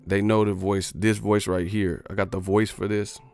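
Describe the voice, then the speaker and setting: A man's voice: lowish voice, level tone, moderate pace, vocal fry, darkish tone, smooth, no room sound, soft background music. A man ranting on a livestream in a boastful, profane, streetwise way. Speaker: boxing YouTuber. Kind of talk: livestream rant.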